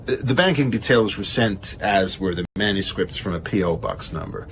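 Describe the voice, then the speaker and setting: Casting: arch literary agent, male, fairly deep, verbose and evasive, fussy pompous delivery for audio drama. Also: animated, normal speed, noisy background. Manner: pompous, wordy. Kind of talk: radio drama acting.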